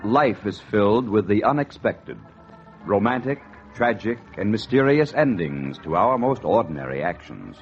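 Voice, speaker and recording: A man's voice: fairly low pitch, lively intonation, normal speed. A man announcing a radio show in a portentous, theatrical 1940s narration style. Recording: dull vintage 1940s radio recording. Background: organ music.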